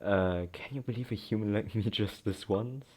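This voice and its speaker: A man's voice: fairly low pitch, normal speed. A man giving casual commentary as a let's play streamer, in a sarcastic, mocking and giggly way, with plenty of swearing.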